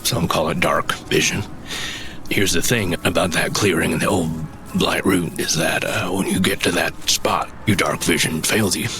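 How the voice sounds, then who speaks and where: An actor playing a shy, halting mushroom forager in a fantasy audio drama. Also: male voice, sits low, steady tone, noisy background, lightly acted. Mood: earnest explaining. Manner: hesitant, halting, not much for talking.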